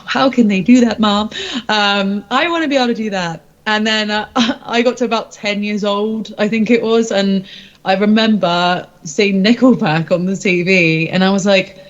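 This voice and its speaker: Female musician, informal rambling interviewee, middle pitch, slightly dull lo-fi sound. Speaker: musician, singer-songwriter being interviewed. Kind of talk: casual interview chat.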